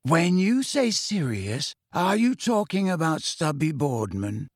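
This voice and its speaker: A dreamy teenage witch girl speaks softly and slowly, detached and serene, as a male narrator puts her on. The voice is gentle and dry. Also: on the high side, very animated, husky, dry recording, voice pushed up, heavily acted.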